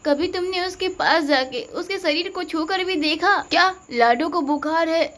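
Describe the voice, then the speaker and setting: A woman voicing a fed-up village wife and mother in a storybook tale, speaking in a scolding, reproachful manner with a high voice. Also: measured pace, fairly bright tone.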